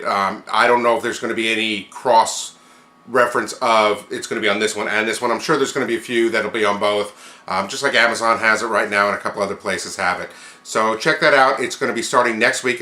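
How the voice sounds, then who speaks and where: A man's voice: lowish voice, sharp tone, roomy sound. A man hosting a tech news podcast, running through items in an informal, chatty, conversational way with casual commentary.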